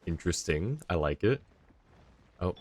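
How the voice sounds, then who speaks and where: A man's voice: sits low, lively intonation, unhurried, darkish tone, slight background noise. A man streaming a game live, chatting casually and rambling as he thinks aloud. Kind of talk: casual livestream chat.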